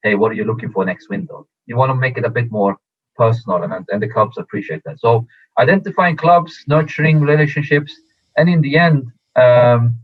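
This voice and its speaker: A man who grew up in Sweden, a football agent answering interview questions in a measured way with many fillers and restarts. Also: medium pitch. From Iran, raised in Sweden. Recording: tinny phone-like audio.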